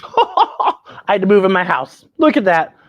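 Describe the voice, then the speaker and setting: A woman hosting a children's story show, reading aloud expressively with theatrical, drawn-out phrasing and emphatic pauses. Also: mid-range voice, sing-song, dry recording, muffled recording. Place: indoors at home.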